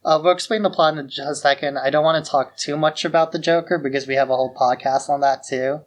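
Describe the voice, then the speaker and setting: A man's voice: a little high, fairly even intonation, fast talker. A man co-hosting a podcast in a casual film discussion, informal and given to acting out paraphrased dialogue. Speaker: podcast co-host.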